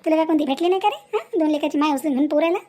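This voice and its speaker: A woman's voice: sits high, rapid-fire, dark tone, lightly acted. A woman voicing a scolding, motherly village neighbour in a cartoon moral story, with a rural Varhadi Marathi accent and an emphatic, admonishing manner full of rhetorical questions. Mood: indignant scolding. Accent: rural Maharashtra, Varhadi Marathi.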